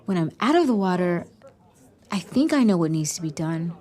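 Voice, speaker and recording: A woman's voice: lowish voice, very animated, normal speed, mellow. A woman playing an earnest, self-doubting teenage daughter in naturalistic audio drama dialogue.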